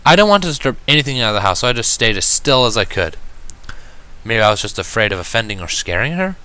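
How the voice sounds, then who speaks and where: A man giving an expressive amateur narration of a fan fiction story. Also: medium pitch, quick pace, smooth.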